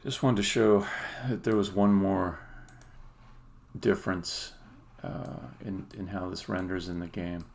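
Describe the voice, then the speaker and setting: A man giving a casual live-stream demo as a game developer, halting and muttering to himself as he works. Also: low voice, fairly even intonation, creaky. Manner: hesitant, halting, muttering to himself while working. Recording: noisy room, keyboard and mouse clicks.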